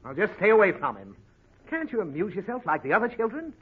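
A man's voice: sits high, wildly swooping pitch, quick pace, breathy edge, heavily acted. An actor playing an exasperated, scolding father in a 1940s radio comedy, fussy and flustered. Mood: stern, weary. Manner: fussy, flustered, sputtering reprimands. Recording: muffled, very noisy 1940 radio recording.

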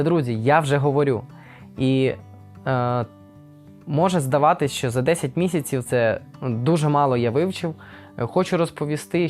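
A young man from Ukraine with a Ukrainian accent, speaking unscripted to camera in a halting, hesitant way, with many pauses and fillers. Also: fairly high pitch. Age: young adult. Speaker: vlogger. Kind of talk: unscripted casual talk to camera. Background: faint guitar music.

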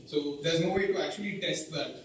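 A man's voice: a little high, a little fast. A young man with an Indian accent giving a meetup tech presentation in a hesitant, explanatory way, with frequent filler words. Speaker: tech speaker. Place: indoor meeting room, standing by a projection screen. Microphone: picked up from a distance. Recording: very echoey room, distant mic, faint noise.

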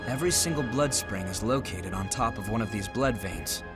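A man playing a composed, noble young revenant leader in a video game, speaking in a measured, serious way. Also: lowish voice, lively intonation, close to an everyday voice. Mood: composed, explanatory. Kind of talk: video game cutscene acting. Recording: music behind the voice.

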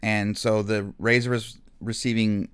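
A man instructing in music software in a casual, unscripted and slightly hesitant explanatory way, as a screen tutorial. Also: fairly low pitch, flat delivery, unhurried, clear, resonant tone.

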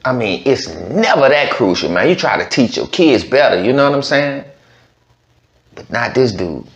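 A man's voice: medium pitch, sing-song, moderate pace. A man hosting a reaction video, talking casually and rambling with lots of "you know what I'm saying.". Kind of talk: casual commentary. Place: home studio with green-screen backdrop. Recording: roomy, slightly dull sound.

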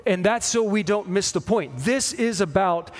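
A man in his forties preaching a sermon, explaining in measured short phrases with pauses. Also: high voice, animated. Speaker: pastor preaching. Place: church stage at a lectern, indoors.

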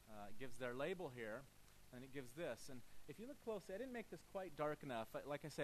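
A man's voice: medium pitch, slight background noise, poor audio. A middle-aged man presenting a technical talk at a lectern, talking casually as he walks through a live demo, often restarting his sentences. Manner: casual, thinking aloud with frequent restarts. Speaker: conference presenter. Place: lecture hall, at a lectern.

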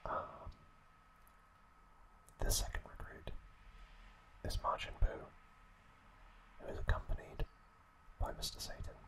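A man whispering breathily in a halting, gentle ASMR storytelling recap, close to the microphone. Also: poor audio. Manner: slow, halting phrases with pauses. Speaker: ASMR host.

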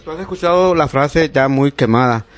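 A man's voice: a little high, moderate pace. A middle-aged Salvadoran man with a Salvadoran Spanish accent, hosting a casual interview in an effusive, playful way on a handheld microphone. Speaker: interviewer and show host. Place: small decorated room indoors.

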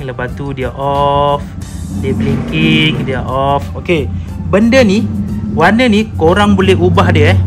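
A man with a Malaysian accent giving a casual, hands-on product demonstration, mixing in English tech terms. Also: on the high side. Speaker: motorcycle gear reviewer. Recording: clearly noisy room recording.

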